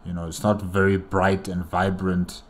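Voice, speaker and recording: A man's voice: deep voice, completely flat delivery, normal speed. A man giving casual, rambling reaction commentary as a video host, hesitating as he thinks aloud.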